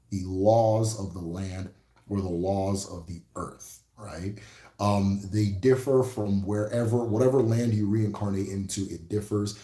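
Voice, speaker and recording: Low mellow man with an Igbo accent. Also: echoey room. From Igbo, Nigeria. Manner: relaxed, reflective, repeats key phrases, often tags "right?". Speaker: spirituality teacher hosting a livestream. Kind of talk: casual livestream teaching.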